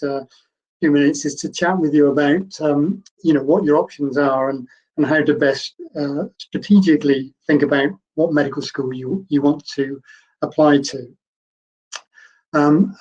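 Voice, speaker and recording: An older man, a medical school professor, giving a conversational online lecture in a croaky voice, explaining things in an encouraging way. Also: a little high, darkish tone, low-quality recording.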